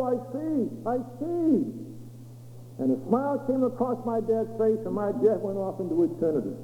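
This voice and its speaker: A man with a Maine accent preaching, telling testimonies with dramatic swoops and acted-out quotes. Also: sits high. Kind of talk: sermon testimony storytelling. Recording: echoey hall over a tinny lo-fi line with faint hum.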